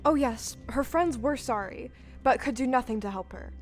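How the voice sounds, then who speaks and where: A woman's voice: on the high side, normal speed, faint music behind. A woman giving scripted storybook narration in an audio play.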